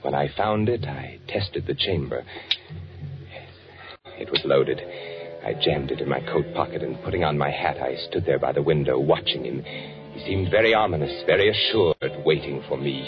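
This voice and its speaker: An actor playing a hunted, fearful spy in a 1940s radio thriller, narrating in a brooding, deliberate way. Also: male voice, rumbling low. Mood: brooding, watchful. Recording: muffled 1940s radio recording with music.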